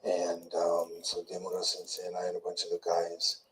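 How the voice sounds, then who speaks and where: An older man slowly recalling his past in a soft, murmuring, rambling way, often trailing off. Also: deep voice, completely flat delivery, poor audio. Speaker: interviewee in played clip. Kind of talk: slow rambling recollection.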